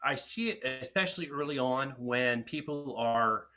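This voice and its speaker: A man's voice: mid-range voice, moderate pace, pushed and bright. A man answering viewer questions on speech and swallowing, frank and direct, with frequent restarts. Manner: frank, advice-giving, with restarts. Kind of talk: casual webinar Q&A.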